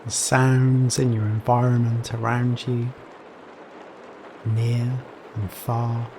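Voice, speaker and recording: A man leading a guided meditation in a gentle, soothing manner, leaving long pauses between phrases. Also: mid-range voice. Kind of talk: guided Yoga Nidra meditation. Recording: steady rain noise behind voice. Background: rain sounds.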